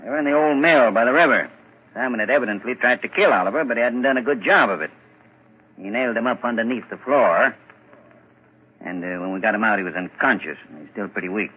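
An actor playing a plain-spoken constable in a 1940s radio drama, with a husky voice. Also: male voice, mid-range voice, fast talker, lightly acted. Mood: matter-of-fact. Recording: dull old radio recording.

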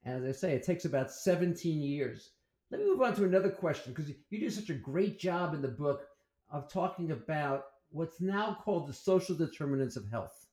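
Host: man, mellow and fairly high, deliberate questioner, echoey room sound. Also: expressive. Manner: measured, deliberate questioning with ums. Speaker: interviewer host. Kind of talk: podcast interview questions. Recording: roomy echo.

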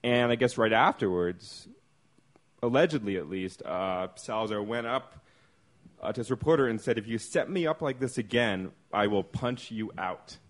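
Low-voiced laid-back podcast host man. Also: normal speed. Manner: relaxed, chatty, frequent uh fillers. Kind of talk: casual podcast chat. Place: room in a clock tower.

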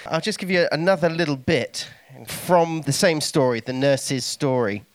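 A man's voice: a little high, moderate pace. A man speaking as a guest, giving an informative, explanatory talk. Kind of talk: informative talk with dramatic readings.

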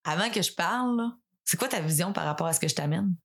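A woman in her thirties with a Québécois French accent hosts a business talk. She asks direct questions and drops quick joking asides. Her voice sits slightly low. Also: lively intonation, breathy edge. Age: 30s. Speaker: podcast host. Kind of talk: casual interview conversation.

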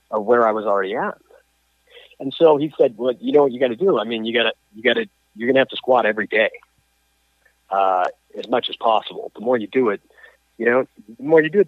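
Halting male guest on a tinny phone line. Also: mid-range voice, no room sound. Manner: halting, many restarts and fillers. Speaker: guest, coach and athlete. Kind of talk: conversational storytelling in an interview. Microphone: phone or call line.

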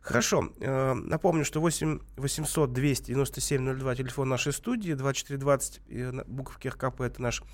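A man hosting live radio from a studio, fighting off a cold. His voice sits in an ordinary middle register. He hesitates often and fills the gaps with drawn-out filler sounds. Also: mid-range voice, steady tone. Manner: halting, many filler sounds. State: has a cold. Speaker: radio host.

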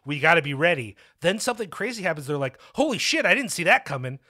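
A man co-hosting a casual film discussion, animated and swearing, acting out quotes in mocking voices. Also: on the high side, fast. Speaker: film podcast co-host.

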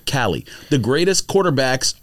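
A man's voice: mid-range voice, very animated, normal speed. A man in a casual, opinionated sports podcast debate, speaking emphatically and argumentatively with plenty of slang.

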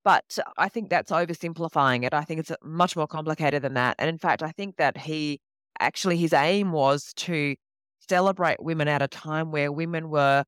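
A woman's voice: low-pitched, moderate pace, smooth, no echo. Reflective woman novelist, dry close sound. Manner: reflective, explanatory. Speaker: novelist being interviewed. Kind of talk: conversational interview answers.

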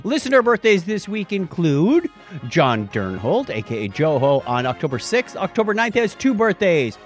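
A man's voice: high voice, huge pitch swings, moderate pace, soft background music. A man hosting a podcast in a casual, chatty style, bantering and joking as he goes. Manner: jokey, chatty. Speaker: podcast host. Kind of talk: casual news and birthdays banter.

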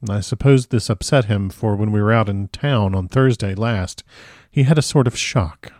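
A well-mannered young wife in a classic storybook, voiced by a man. She is modest and proper in how she speaks. The tone is mellow and dry, close to an everyday voice. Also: fairly low pitch, moderate pace, dry recording, lightly acted. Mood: troubled. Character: polite, demure young married woman diarist.

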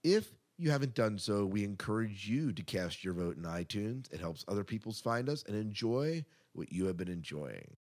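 A man's voice: on the low side, big pitch swings, normal speed, a little mellow. A man hosting a podcast in a chatty, casual way, part scripted and part off the cuff, with upbeat announcing. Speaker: podcast host.

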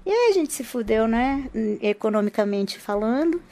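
A woman's voice: a little high, very animated, normal speed, dark tone. A woman from Rio de Janeiro, a podcast guest, talking casually with many restarts and laughs. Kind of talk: casual chat.

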